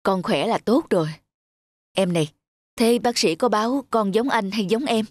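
A woman's voice: medium pitch, normal speed, a little mellow, no room sound, natural voice. A woman voicing a mild-mannered young husband and father in a cartoon.

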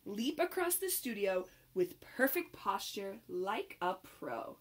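A young adult woman reading a rhyming picture book aloud to children in an expressive, sing-song manner with clear phrasing. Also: mid-range voice, slow pace, a little hiss or hum. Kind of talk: children's read-aloud, rhymed storytelling.

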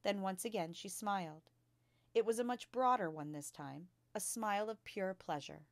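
A woman reading a children's story aloud as a storytime reader, with clear, expressive delivery. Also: mid-range voice, normal speed, no room sound. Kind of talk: children's book read-aloud.

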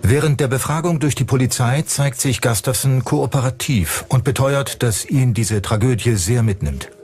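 A man's voice: medium pitch, normal speed, darkish tone. Male true-crime narrator over music. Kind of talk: scripted true-crime documentary narration in German.

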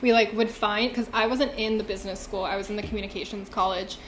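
A woman in her twenties, the company's marketing chief, joining a casual podcast chat in a lively, giggly way. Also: mid-range voice, quick pace. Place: sunny glass-walled room, indoors. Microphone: small desk mic on stand. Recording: echoey room, faint hiss.

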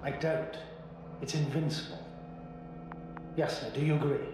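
A man's voice: medium pitch, a little slow, over music, lightly acted. A man playing an earnest, insistent astrogator in a video game, speaking emphatically in formal phrases, in a very echoey, noisy space. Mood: certain. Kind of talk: dramatic video game dialogue. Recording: very echoey hall with noisy ambience.

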